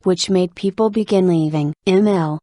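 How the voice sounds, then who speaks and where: A woman reading an interview transcript aloud in an even, measured delivery. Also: on the low side, no room sound. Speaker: reader of an interview article.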